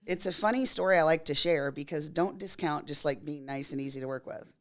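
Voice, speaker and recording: A woman in her fifties from Texas, a makeup artist telling stories in a casual interview, her voice scratchy and hoarse from a cold. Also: low voice, a little fast. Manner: chatty storyteller, laughs often.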